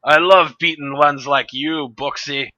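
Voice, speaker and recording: A man's voice: fairly high pitch, fairly even intonation, a little slow, bright, forceful tone, dry recording, highs cut off, voice pushed up. A man voicing a cruel male pirate whipmaster who gloats in a put-on Scottish accent. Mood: gloating. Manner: gleeful, with a mock Scottish accent.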